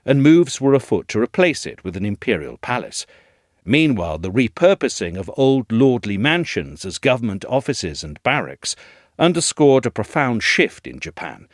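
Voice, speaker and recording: A man reading a scripted essay as narrator, in a measured, articulate storytelling delivery, recorded close up. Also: on the low side, dry recording.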